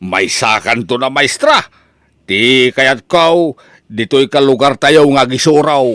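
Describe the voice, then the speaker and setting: A man with an Ilocano accent acting a proud, hot-tempered father in a radio drama, with emphatic, drawn-out delivery. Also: mid-range voice, a bit bright, no room sound, clean studio sound. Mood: proud, hopeful.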